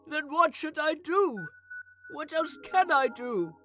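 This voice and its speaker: A woman's voice: sits high, big pitch swings, slow, fairly bright tone, heavily acted. A woman voicing a loyal, naive male skeleton who sounds earnest and pleading, in a comic dub. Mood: worried, resolved. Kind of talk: animated comic dub voice acting. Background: music.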